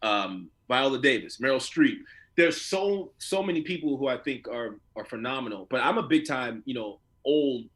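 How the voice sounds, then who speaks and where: A man who works as an actor, talking casually and colloquially as an interview guest, telling stories in an animated way. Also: medium pitch, moderate pace. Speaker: voice and motion capture actor being interviewed.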